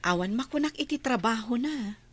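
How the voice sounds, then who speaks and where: Lady of the house, TV drama; Ilocano accent, slightly high voice, appreciative. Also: lively intonation, normal speed, fairly bright tone. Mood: pleasant, appreciative. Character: appreciative female employer of a household helper. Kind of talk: Ilocano television drama acting.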